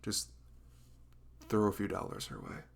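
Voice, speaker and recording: A man with a velvety voice making a casual, earnest, rambling announcement as an audio creator. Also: a little slow.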